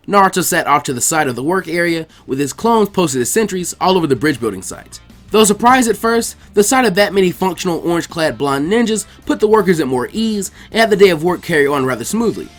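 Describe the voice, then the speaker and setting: A man reading fan fiction aloud as expressive, audiobook-style narration. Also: high voice.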